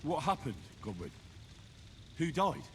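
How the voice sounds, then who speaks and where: A man's voice: fairly high pitch, sing-song, measured pace, slight background noise. An actor playing an earnest, hot-headed young medieval man in a video game, speaking intensely. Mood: worried. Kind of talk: video game drama acting.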